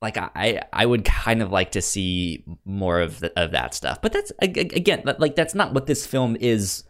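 A man co-hosting a film podcast in casual chat, with a halting delivery that often repeats and restarts words. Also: fairly low pitch, big pitch swings, fairly bright tone. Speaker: podcast co-host. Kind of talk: casual chat about films.

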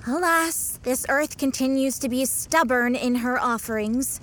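A woman's voice: high voice, unhurried, fairly bright tone. A woman playing a dutiful, modest young cottager's daughter in a radio play, speaking in old-fashioned phrases. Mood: rueful, hopeful.

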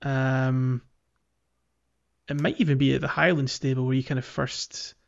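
A man's voice: mid-range voice, fairly even intonation, measured pace, gravelly, no room sound, dull audio. A man co-hosting a podcast, chatting casually about a game in a hesitant, meandering way. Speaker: podcast host. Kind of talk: casual game playthrough chat.

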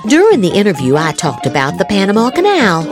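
A woman's voice: mid-range voice, wildly swooping pitch, quick pace, music in the background. A woman hosting a podcast, reading social media posts aloud theatrically with exaggerated inflection and mock emphasis. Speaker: podcast host.

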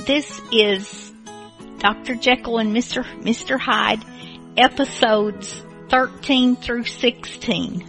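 Female radio host, measured and unhurried introductions, higher voice, light music underneath. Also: fairly bright tone, rough recording. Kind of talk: programme introduction. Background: plucked guitar music.

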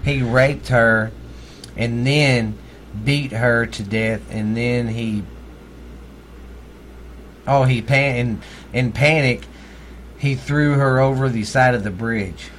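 A man's voice: mid-range voice, fairly even intonation, slow pace, pauses often, fairly bright tone, a little hiss or hum. A middle-aged man with a Texan accent, co-hosting a podcast and telling ghost stories in a blunt, joking, profane way. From Texas. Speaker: podcast co-host. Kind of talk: casual ghost-story chat.

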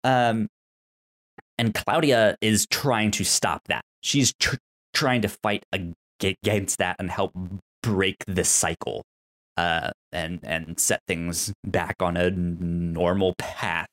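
A man co-hosting a casual podcast discussion, explaining things haltingly and stuttering on words with repeated syllables. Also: low-pitched, fairly even intonation, fairly bright tone. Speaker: podcast host.

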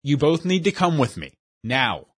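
Guy playing a stern principal, lecturing, husky, dry close sound. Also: a little high, big pitch swings, normal speed, no echo. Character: stern middle-aged male school principal.

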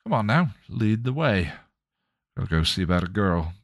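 A man voicing a coarse, sneering male vampire demon who talks in cocky, rough slang. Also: lowish voice, very animated, moderate pace, no room sound, clean studio sound, voice pushed up.

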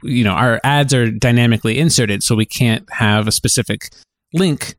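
A man co-hosting a podcast, chatting casually in a halting, self-correcting way. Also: mid-range voice, no echo, studio quality. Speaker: podcast co-host.

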